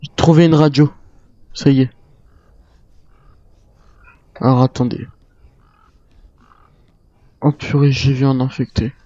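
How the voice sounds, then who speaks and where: A man with a dark, relaxed voice at a medium pitch narrates his survival game in character. He mutters his thoughts aloud as he improvises, on a slightly dull microphone. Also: unhurried, no room sound. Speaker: gamer roleplaying a zombie-island survivor.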